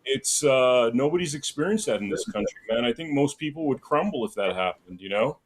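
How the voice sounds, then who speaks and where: A man's voice: medium pitch, animated, brisk. A man from Los Angeles hosting a podcast interview in a casual chatty way, often saying "man" and "like". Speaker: podcast host.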